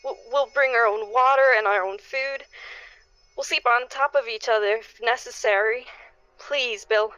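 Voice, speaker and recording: Pleading neighbour played by a woman, radio drama: halting, anxious parent, higher voice, close dry sound. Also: fairly even intonation, sharp tone, dry recording, soft background music, poor audio. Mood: desperate, pleading. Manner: halting, stammering pleas. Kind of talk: dramatic radio play acting.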